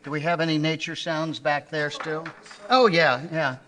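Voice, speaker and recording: A man's voice: fairly high pitch, steady tone, moderate pace, noisy background. A man giving an informal, chatty live introduction as a presenter, recorded in an echoey hall before an audience.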